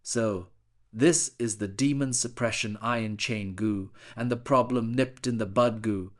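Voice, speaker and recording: An arrogant sect lord, voiced by a man, mocking his rival with open glee in an audiobook drama. His voice sits in a middle pitch, taunting and smug. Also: steady tone, measured pace, close to an everyday voice. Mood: gloating. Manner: mocking, gloating. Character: arrogant gloating male sect lord, madly laughing.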